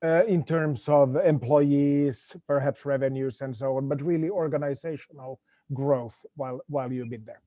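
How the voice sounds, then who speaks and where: Mellow dry-sounding guy hosting a video panel, repeats himself a lot. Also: medium pitch, fairly even intonation, moderate pace, dry recording, muffled recording. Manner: friendly, stammering repeats. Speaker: panel moderator.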